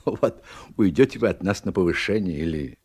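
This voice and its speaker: A naval officer in a radio drama. His voice is deep and male. He speaks with confidence and forthright ease to a superior. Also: expressive, a little fast, a little mellow, husky. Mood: bold, probing. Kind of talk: radio play acting.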